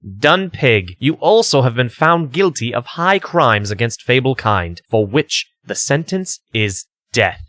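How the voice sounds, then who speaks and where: A man's voice: mid-range voice, big pitch swings, unhurried, fairly bright tone, no room sound, clean studio sound. An actor playing a stern, formal male judge in a comic dub. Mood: grave, commanding. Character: stern, formal judge pronouncing a death sentence.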